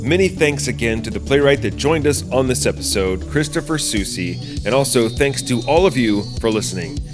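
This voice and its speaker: A man's voice: mid-range voice, lively intonation, moderate pace, over music. A man hosting a podcast, speaking in a conversational presenting style. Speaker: podcast host.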